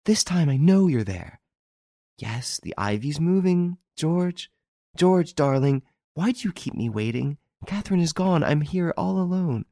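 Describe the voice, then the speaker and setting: Man playing high-pitched passionate young woman. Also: huge pitch swings, moderate pace, gravelly, a little mellow, breathy edge, dry recording, studio quality, full character voice. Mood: yearning, pleading. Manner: breathless, emotional, protesting. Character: Rose André, passionate young woman film star, defiant lover.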